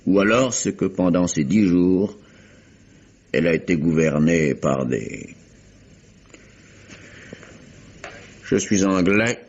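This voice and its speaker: An actor playing an imperious, insistent public prosecutor with formal, pointed questioning in a vintage French radio mystery. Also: male voice, low-pitched, measured pace, darkish tone, slightly rough, heavily acted. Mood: emphatic, indignant. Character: imperious, insistent English male public prosecutor interrogating witnesses. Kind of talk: 1950s-style French radio mystery acting. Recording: dull, muffled vintage radio recording.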